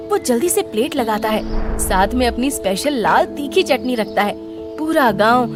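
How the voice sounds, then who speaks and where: A woman narrates a scripted cartoon story. Her voice is clearly high in pitch, and music plays noticeably behind her.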